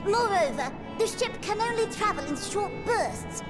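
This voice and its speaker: A little rabbit-like creature chatters in an extremely high voice. It is self-important and theatrical, rattling through wordy, old-fashioned phrases with cheerful authority. Prominent game music plays behind it. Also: female voice, sing-song, normal speed. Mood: proud, cheerful. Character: chirpy, long-winded, self-important little rabbit-like moon keeper. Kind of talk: scripted video game dialogue.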